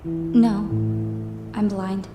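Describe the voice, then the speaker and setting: A woman playing a gentle, lonely blind orphan girl who speaks in a soft, soothing way, with roomy echo and music behind. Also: medium pitch, little pitch movement, very slow. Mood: friendly.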